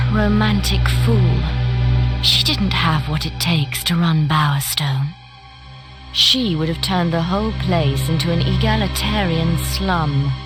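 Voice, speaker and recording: A ruthless, seductive noblewoman villain from a fantasy game. She talks slowly and a little low, with haughty condescension. Very loud music plays behind her. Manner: imperious, condescending, silky.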